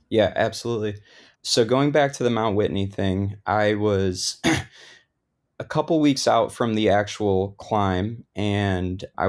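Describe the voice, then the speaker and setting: Male guest, low register, easygoing, rambling interview storyteller. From Lebanon. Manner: relaxed, lots of "like" fillers. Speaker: guest, thru-hiker.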